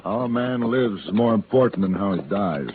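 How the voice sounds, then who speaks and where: An actor playing a steady, principled Western gunfighter with an American accent and terse, measured delivery in a 1950s radio drama. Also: male voice, on the low side, lightly acted. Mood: calm, sober. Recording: noisy, tinny old-time radio recording.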